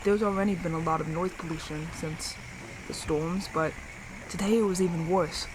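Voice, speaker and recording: A woman's voice: fairly low pitch, steady tone, normal speed, lightly acted. A woman playing a thoughtful adult survivor sending radio messages in an audio drama, musing and hesitant in an understated way. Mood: puzzled, pensive. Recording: clearly noisy radio transmission with ambience.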